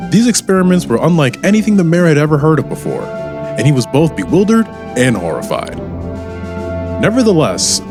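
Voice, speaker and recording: A man narrating an audio drama as a scripted storyteller. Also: mid-range voice. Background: music.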